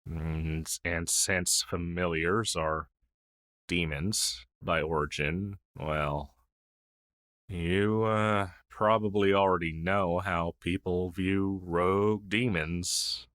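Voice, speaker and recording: Man acting a slow, low-voiced wistful crow familiar. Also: fairly even intonation, no room sound, clean studio sound. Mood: resigned. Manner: hesitant, halting, soft-spoken with sighs. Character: gentle, wistful old male crow familiar, rogue demon. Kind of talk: ASMR audio roleplay.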